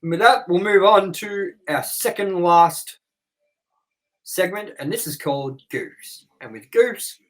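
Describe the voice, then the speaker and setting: Guy cohosting a movie review, kinda high voice, reads off notes casually, swears a bit. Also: a little slow. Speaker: co-host of a movie review show. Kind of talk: reading trivia and goofs from notes.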